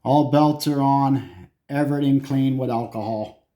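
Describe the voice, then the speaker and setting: Man, slow pace, mellow mid-pitched voice, halting muttered phrases, casual repair commentary, loosely profane. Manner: muttering, halting, talking to self in short phrases. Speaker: hobbyist repairer filming own repair. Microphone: handheld camera.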